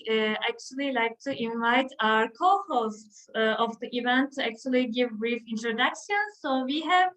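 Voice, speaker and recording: A woman's voice: fairly high pitch, a bit bright, rough recording. A young woman with a Turkish accent, a student intern hosting an online panel, talking with frequent hesitations. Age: young adult. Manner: hesitant, many filler sounds. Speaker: event host, HR intern.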